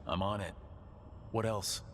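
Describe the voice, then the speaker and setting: An actor playing an easygoing adventurer hero in a fantasy game, with casual, informal phrasing. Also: male voice, on the low side, steady tone, unhurried, a bit bright, a little hiss or hum. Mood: breezy. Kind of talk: video game voice acting. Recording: music clearly heard behind.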